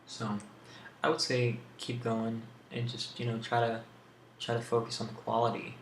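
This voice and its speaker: A man talks through questions in a casual, rambling ASMR style. His voice stays fairly flat and is thick with vocal fry. The recording has a roomy echo and clear background noise. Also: medium pitch, moderate pace. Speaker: video creator answering tag questions.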